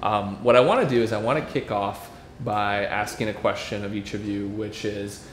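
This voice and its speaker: A man moderating a panel before an audience, relaxed, with many fillers and restarts. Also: lowish voice, moderate pace. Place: event room before an audience. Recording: echoey room with faint noise.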